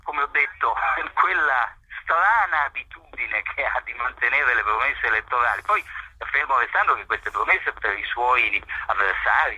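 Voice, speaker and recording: A man, a journalist, answering interview questions in a measured way, pausing often with fillers. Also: medium pitch, bright, forceful tone, husky, no room sound, rough recording. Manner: measured, hesitant with frequent fillers.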